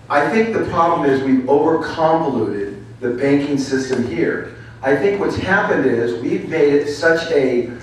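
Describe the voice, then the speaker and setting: A man running for office answering questions at a public forum, confident and forceful. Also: on the high side, slight background noise. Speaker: political candidate. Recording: echoey hall from far off.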